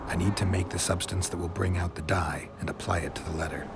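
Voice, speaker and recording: An actor playing a gruff, world-weary monster hunter in a video game, speaking in a deadpan, measured way. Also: male voice, low voice, very noisy. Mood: quiet, musing.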